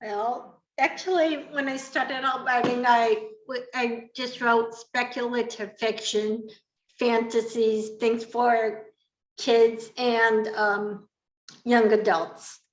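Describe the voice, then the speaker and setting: A woman's voice: a little high, steady tone, takes it slowly, dull audio. A woman writer giving a casual personal account as a podcast guest. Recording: echoey room.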